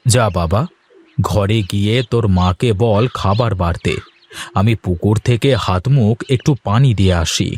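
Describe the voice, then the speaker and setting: A man's voice: on the low side, measured pace, darkish tone. A man voicing an admonishing, plain-spoken village father in a cartoon. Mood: gently instructing. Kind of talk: cartoon dialogue acting.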